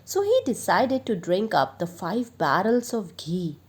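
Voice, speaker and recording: Slow-paced woman telling a children's story. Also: mid-range voice, big pitch swings, husky. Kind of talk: expressive children's story narration.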